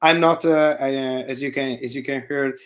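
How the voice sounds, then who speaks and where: A man's voice: medium pitch, fairly even intonation, fairly bright tone. A man with an Italian accent speaks in English, his second language, over a video call. He gropes for words, restarting phrases and filling gaps with "uh" and "let me say". His call audio drops in and out now and then. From Italy. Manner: halting, searching for words, frequent fillers and restarts. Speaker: guest presenter and university lecturer. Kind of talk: casual chat and live coding demo on a video call. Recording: audio fading in and out.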